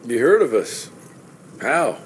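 An actor playing a gruff, commanding vampire-hunting cowboy in a game, terse, sardonic and weary. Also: male voice, mid-range voice, big pitch swings, takes it slowly, slight background noise, rough recording. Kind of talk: video game cutscene acting.

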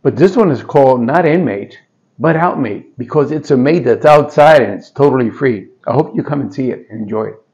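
An older man with a Spanish-tinged New York accent talks through his paintings in a friendly, halting way. His voice has a gravelly fry to it. The sound is dull and lo-fi, picked up by a camera a few feet off in a small stone-walled gallery. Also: mid-range voice, animated, darkish tone. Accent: Puerto Rican Spanish-tinged New York. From Puerto Rico. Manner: friendly, hesitant with fillers and restarts, gesturing. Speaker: artist. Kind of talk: casual gallery talk.

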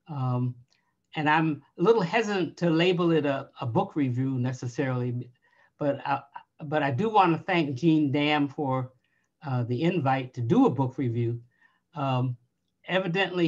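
An older woman speaks from her bookshelf-lined room at home, her voice a little worn and hoarse. She takes her time, filling gaps with soft hesitations. The video-call audio is muffled and lo-fi. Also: low voice. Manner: unhurried, thoughtful, frequent "uh" and "um" pauses. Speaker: book reviewer. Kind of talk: library book talk over video call. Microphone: video call webcam.

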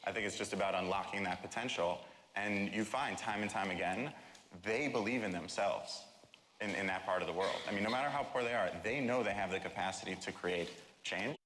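Very low-pitched young man, echoey hall. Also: fairly quick, fairly bright tone. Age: 20s. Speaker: panelist. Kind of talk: live panel talk. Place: auditorium stage. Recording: echoey hall, faint noise.

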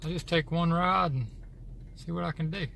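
A man's voice: fairly high pitch, normal speed, creaky. A middle-aged man with a Texan accent, chatting casually on a fishing vlog while driving and at a lakeshore. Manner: relaxed, plain-spoken, thinking aloud. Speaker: fishing vlogger. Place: in a car and outdoors at a windy lakeshore. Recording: noisy car and wind background.

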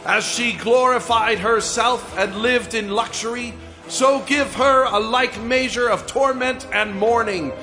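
Very high, stern heavenly voice. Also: male voice, measured pace, bright, forceful tone, soft background music, full character voice, low-quality recording. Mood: solemn commanding. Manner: solemn, commanding. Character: stern heavenly voice commanding and pronouncing judgment. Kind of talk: dramatized audio Bible.

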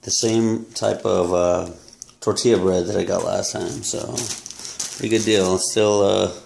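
A young man talking casually to the camera about his grocery haul, relaxed and halting, with many ums and uhs, in a small indoor room. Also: deep voice, vocal fry, a little hiss or hum. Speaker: fitness vlogger. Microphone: camera close up at arm's length.

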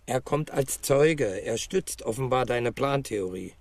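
A man's voice: medium pitch, lively intonation, measured pace, vocal fry, darkish tone, no echo. An actor playing a dry, sardonic junior male detective in a radio crime drama, matter-of-fact in manner.

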